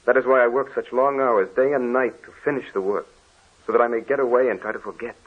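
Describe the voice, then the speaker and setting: A man's voice: medium pitch, steady tone, quick pace, slightly rough, natural voice. A man playing a sensitive young Dutch student. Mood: troubled. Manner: earnest, troubled pleading. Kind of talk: 1940s radio drama acting. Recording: dull old radio recording.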